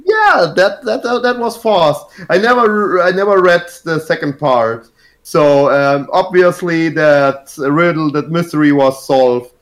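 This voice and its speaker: Podcast co-host, male, slightly raised pitch, giggly storyteller calling in from a hotel room. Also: animated, measured pace, a bit bright. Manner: rambling, laughs through stories. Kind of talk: casual chat.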